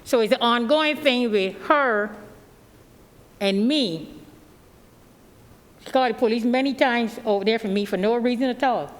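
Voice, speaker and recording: A woman's voice: a little high, moderate pace, pauses often. A woman from Barbados, speaking plainly and at length as a resident at a public hearing. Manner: rambling, plainspoken, nonstandard grammar. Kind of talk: informal testimony at a public meeting. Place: council meeting chamber. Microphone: podium microphone. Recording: reverberant meeting hall.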